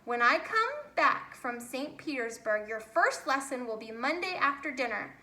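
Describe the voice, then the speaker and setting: A confident, kindly dancing he-bear from a children's storybook, played by a woman. He encourages and instructs in a reassuring way, with a high, bright voice. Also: big pitch swings, moderate pace, heavily acted. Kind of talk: children's storybook character reading. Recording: roomy, echoey home recording.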